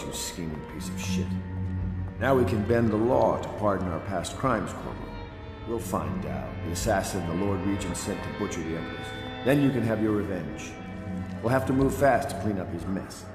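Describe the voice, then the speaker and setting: A man playing a commanding, scheming conspirator leader in a video game, speaking with formal, brisk authority. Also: deep voice, animated. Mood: authoritative. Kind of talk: video game character acting. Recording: echoey hall, music underneath. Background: music.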